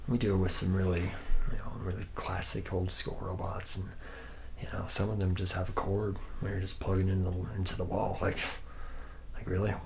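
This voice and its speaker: A man's voice: low-pitched, flat delivery, moderate pace, vocal fry, a little mellow, a little hiss or hum. A man playing a laid-back, boozy, womanizing factory worker from the future in an ASMR roleplay, rambling slangily and often dropping into a whisper. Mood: casual instructing.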